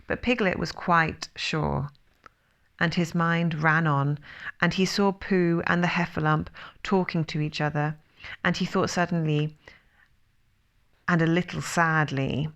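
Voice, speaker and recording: A woman narrating a children's storybook in a measured, expressive storytelling manner. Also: low voice. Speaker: audiobook reader.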